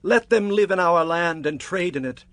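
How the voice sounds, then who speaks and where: High-voiced, emphatic biblical tribesman. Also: expressive, normal speed, a bit bright. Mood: persuasive. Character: forceful biblical tribesmen: brothers, fathers, suitors. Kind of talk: dramatized audio Bible acting.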